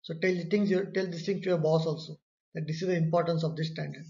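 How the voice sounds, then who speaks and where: A man's voice: fairly high pitch, fairly even intonation, fast talker, creaky, dark tone. A man explains slides in an online course. He talks through each point and repeats key phrases for emphasis. The recording is slightly dull and lo-fi. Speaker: instructor. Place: indoor room, webcam overlay on slides.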